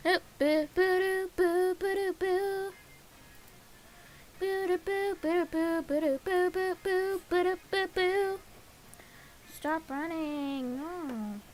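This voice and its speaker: A woman's voice: extremely high pitch, takes it slowly, soft background music. A woman livestreamer chatting casually and playfully to herself, slipping into sing-song babble. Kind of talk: casual livestream chat while setting up games. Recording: clear background hiss.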